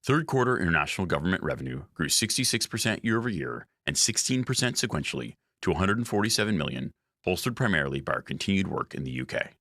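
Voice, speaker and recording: A man reads a scripted earnings presentation as a company executive, in a formal, measured manner. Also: low voice, very animated, fairly bright tone, no room sound, studio quality. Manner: formal, measured reading of figures.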